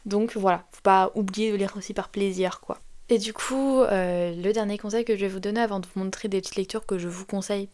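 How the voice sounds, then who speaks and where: Young woman, from France, casual, rambling, filler-heavy, mid pitch. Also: a little fast, darkish tone. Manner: chatty, rambling with many fillers and restarts. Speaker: book vlogger. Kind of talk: casual advice chat to camera.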